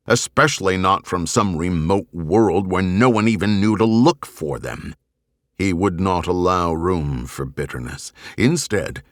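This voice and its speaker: A man narrating an audiobook novel in a grave, measured, dramatic way with deliberate pauses. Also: lowish voice, dry recording, clean studio sound. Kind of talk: dramatic scripted narration of a science fiction novel.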